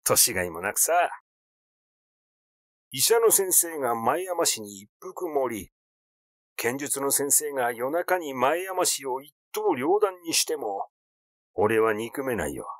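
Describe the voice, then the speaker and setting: A man voicing a rash, glib, excitable schemer in an audiobook, talking in a blunt, teasing, effusive way. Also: mid-range voice, takes it slowly, long pauses, dry recording, clean studio sound. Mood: sly, teasing. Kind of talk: literary audiobook character dialogue.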